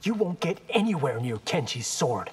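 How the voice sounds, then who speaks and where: A man's voice: fairly high pitch, big pitch swings, moderate pace. An actor playing a cocky, loyal young male ninja in a video game, confident and casual. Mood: cocky, animated. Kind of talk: video game character acting. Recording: long reverb, clear background noise.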